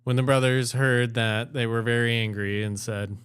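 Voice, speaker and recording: A man's voice: mid-range voice, steady tone, normal speed, a bit bright, smooth. A man reading fairy tales aloud as an amateur co-host, moving between storybook narration and casual chat.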